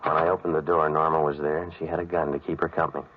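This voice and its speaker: An actor playing a cynical, wisecracking private investigator in 1940s radio style, terse, deadpan and sardonic. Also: male voice, rumbling low, rapid-fire, background noise. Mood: wry, weary. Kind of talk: 1940s radio detective acting with first-person narration. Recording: dull, lo-fi old radio recording.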